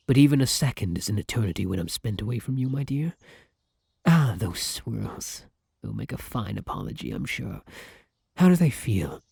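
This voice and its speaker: A man's voice: mid-range voice, gravelly, a little mellow, slightly rough, no room sound, studio quality, exaggerated voice. A man playing a devoted, old-fashioned vampire in an ASMR roleplay, speaking formally and with flowery affection. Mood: adoring. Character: courtly, devoted gentleman vampire, male, tender and old-fashioned. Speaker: roleplay voice actor. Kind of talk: ASMR roleplay acting addressed to the listener.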